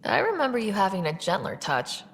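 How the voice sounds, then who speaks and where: A woman's voice: mid-range voice, very animated, fairly quick, fairly bright tone, a little hiss or hum. A woman playing an easygoing, sincere young expedition leader with a friendly, lightly teasing manner in a video game. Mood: warm. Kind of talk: video game cutscene acting.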